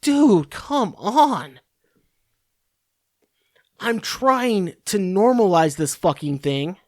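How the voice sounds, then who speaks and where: A man's voice: sits high, lively intonation, drawn-out words, no echo, studio quality. A man hosting a podcast, ranting in a profane, rambling, sarcastic monologue. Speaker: podcast host.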